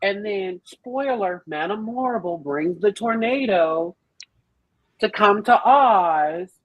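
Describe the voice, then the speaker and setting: A woman explaining things emphatically and with salty language in an animated, casual group discussion on a podcast. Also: mid-range voice, takes it slowly, no room sound, highs cut off. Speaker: podcast panellist.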